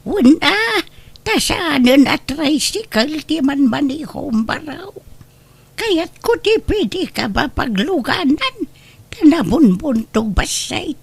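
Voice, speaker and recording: A woman's voice: high-pitched, sing-song, takes it slowly, creaky, dry recording, full character voice. A woman with an Ilocano accent playing an impatient, complaining passenger in an amateur comedy drama.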